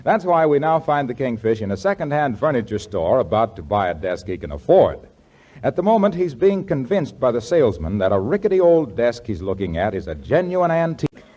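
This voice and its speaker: A man's voice: medium pitch, big pitch swings, brisk, warm tone, lo-fi sound. A man announcing and narrating a 1940s radio comedy in a scripted style.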